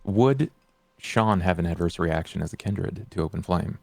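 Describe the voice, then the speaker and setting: Man, game player, low pitch, creaky, inquisitive, halting casual talk. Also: darkish tone, dry recording.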